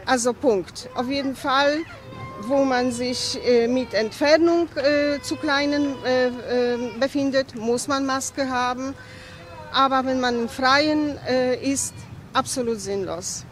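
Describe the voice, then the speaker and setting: An older woman answers haltingly in a street interview. Her voice is high, and some urban noise sits behind it. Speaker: interviewed passer-by. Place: busy city street outdoors. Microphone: interviewer's handheld microphone.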